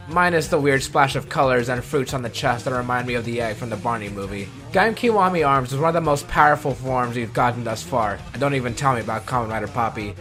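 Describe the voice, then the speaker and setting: A man's voice: mid-range voice, a bit bright. Wry man hosting a countdown over music. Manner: wry, self-deprecating asides. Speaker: video host. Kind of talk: scripted countdown commentary. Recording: background music under the voice.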